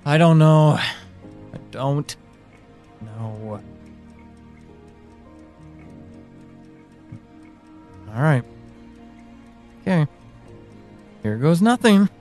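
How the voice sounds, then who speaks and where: An actor playing an anxious, conflicted man in an audio drama monologue, speaking haltingly with sighs. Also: on the high side, sing-song, darkish tone, soft background music, exaggerated voice. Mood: wistful, uneasy. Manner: hesitant, halting, sighing. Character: anxious, conflicted man leaving voice messages to a missing loved one.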